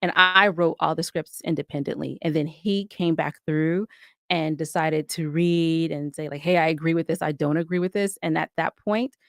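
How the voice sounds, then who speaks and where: A woman's voice: low voice, steady tone, normal speed, creaky, no room sound, clean studio sound. A woman giving reflective, articulate answers as a guest in a conversational podcast interview. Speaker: podcast guest, audio drama co-creator and writer.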